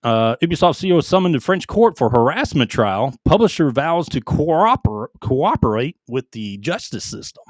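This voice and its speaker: A man's voice: fairly high pitch, big pitch swings, moderate pace, hardly any pauses, no room sound. Man reading gaming news on a podcast. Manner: reads headlines aloud with asides and stumbles on names. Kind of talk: casual gaming podcast chat.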